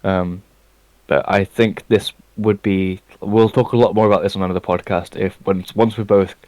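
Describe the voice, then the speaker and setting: A man with a fairly low voice, chatting casually as a podcast co-host. He rambles with plenty of ums and restarts, close to the mic in a dry, dead room. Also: fairly even intonation, moderate pace, a little mellow, no room sound, rough recording. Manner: rambling, many fillers and restarts. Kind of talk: casual chat.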